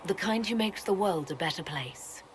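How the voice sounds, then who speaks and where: An actress playing a composed, modest heroine in a video game, plain-spoken and polite with dry good humour. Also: female voice, medium pitch, animated, moderate pace, slight background noise, lightly acted. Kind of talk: video game character dialogue.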